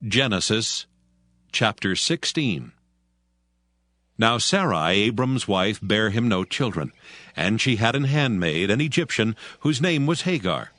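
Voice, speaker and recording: A man narrating scripture from a script in a formal, measured manner. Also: lowish voice, lively intonation, fairly bright tone, dry recording.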